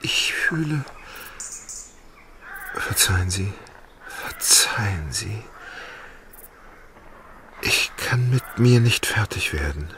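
An actor playing an idle, lovesick gentleman in a radio play, anxious and tender, speaking haltingly and evasively. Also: on the low side, very animated, whispered, exaggerated voice. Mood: ashamed, pleading. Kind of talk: radio drama acting.

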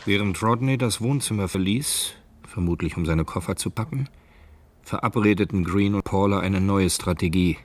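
A man's voice: low-pitched, moderate pace, slight background noise, lightly acted. A man narrating a German radio crime drama as a detached, sober storyteller. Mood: measured, factual.

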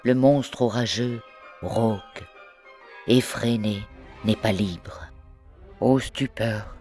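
A woman reciting literary poetry in measured, deliberate phrases broken by pauses. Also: extremely low pitch, mellow, faint music behind. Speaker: poetry reader.

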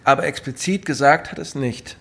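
An actor playing a calm, patient professional man, reassuring and methodical, in a German radio drama. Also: mid-range voice, moderate pace, vocal fry. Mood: probing. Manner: composed, reassuring, methodical questioning. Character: calm, patient male professional advising a troubled client.

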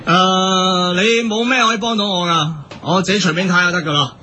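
A man's voice: high-pitched, fairly even intonation, fairly quick, bright, forceful tone, slight background noise. A man playing a picky, blunt customer looking for a home in a comic radio sketch. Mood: brusque.

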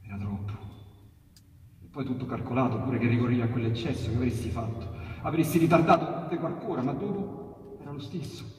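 A man with a Roman accent recites dialect poetry as theatrical storytelling, emphatic and full of dramatic pauses. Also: mid-range voice, a little mellow, a little hiss or hum. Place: theatre stage. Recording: echoey hall, distant mic, faint music behind. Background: piano accompaniment.

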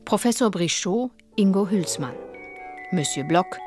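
Low-pitched female announcer over loud music. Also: lively intonation, a little slow. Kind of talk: scripted radio drama credits announcement. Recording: studio voice under loud music. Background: loud music with glockenspiel.

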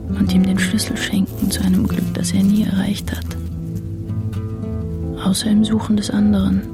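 A woman reading poetic narration for a radio play in a measured, literary way. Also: mid-range voice, little pitch movement, natural voice. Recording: music behind the voice. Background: plucked guitar music.

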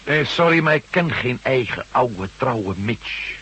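An actor playing a bewildered, hesitant husband with lost memory, stammering and gruffly polite in a Dutch radio drama. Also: male voice, medium pitch, expressive, a little slow, pushed and bright, heavily acted, rough recording. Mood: wary, confused. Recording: faintly hissy old radio recording.

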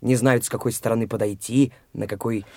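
A man's voice: mid-range voice, steady tone, fairly quick, dark tone, no room sound, natural voice. An actor playing Mitya, a brash, pushy young man who talks in a taunting, blunt way, in a studio radio play.

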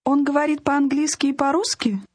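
A woman with a high, mellow voice models phrases for learners. Her pitch rises and falls lively, and she repeats phrases in parts. The sound is dry and close. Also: normal speed, no echo. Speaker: native-speaker model in a lesson. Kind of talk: scripted lesson demonstration.

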